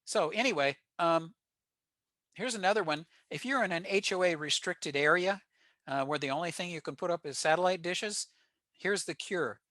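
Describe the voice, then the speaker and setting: A man's voice: high-pitched, normal speed, sharp tone, no echo. An American man giving an informal technical slide presentation to a club. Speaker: presenter at a ham radio club meeting.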